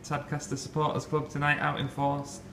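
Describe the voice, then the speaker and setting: A man asking a football manager steady questions for the club after a match. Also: fairly high pitch, normal speed. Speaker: club interviewer. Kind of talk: post-match interview questions. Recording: echoey room, noisy.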